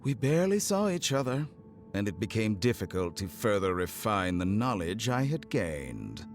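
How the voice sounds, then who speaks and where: Casting: sinister mad-scientist game villain, low voice, swooping intonation, icy formal courtesy. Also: male voice, big pitch swings, moderate pace. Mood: smug. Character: the Doctor, cold, sinister male scientist villain. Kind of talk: video game voice acting. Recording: music under the voice.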